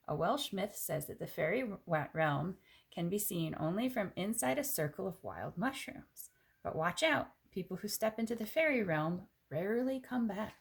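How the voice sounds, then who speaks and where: A woman reading a children's picture book aloud in an expressive, clearly phrased way, with casual asides. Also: mid-range voice.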